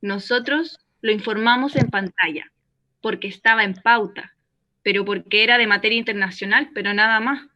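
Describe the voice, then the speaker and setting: A woman's voice: medium pitch, moderate pace, pushed and bright, natural voice. A woman playing a defensive, earnest journalist and former newsreader in a radio play, firm and articulate, over a remote link. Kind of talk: radio play as live call-in interview. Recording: dull, band-limited remote link.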